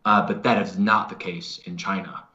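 Low-voiced American man chatting casually. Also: fairly even intonation, normal speed, pushed and bright, muffled recording. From United States. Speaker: show regular, co-host. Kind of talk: casual conversational chat.

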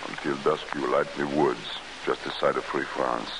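An actor playing a calm, secretive, deliberate man of authority in a 1940s radio drama. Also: extremely low pitch, gravelly. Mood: hushed, deliberate. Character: calm, secretive underground agent; also a sober consul. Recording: noisy, hissy old 1940s radio recording.

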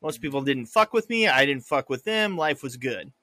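A man's voice: medium pitch, expressive, moderate pace, pushed and bright, no room sound. A man co-hosting a casual podcast, joking and laughing often as he talks. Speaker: podcast co-host. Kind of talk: casual chat.

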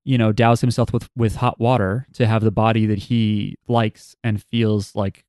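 A man's voice: fairly low pitch, level tone, moderate pace, a little mellow, smooth, no echo, studio quality. A man co-hosting a casual chat, rambling and thinking aloud with plenty of fillers. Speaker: podcast co-host.

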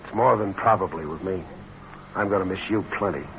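An American actor playing a smooth-talking, scheming portrait painter with a hard edge in a 1940s radio drama. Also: male voice, deep voice, fairly quick, faint music behind. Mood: smooth, flirtatious. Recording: muffled, noisy old radio recording.

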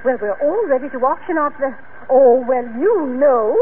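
An actress playing a genteel, affected middle-aged society matron who flutters and flusters in a 1940s radio comedy. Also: female voice, high voice, animated, normal speed, slight background noise. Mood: flustered. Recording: tinny 1940s radio recording.

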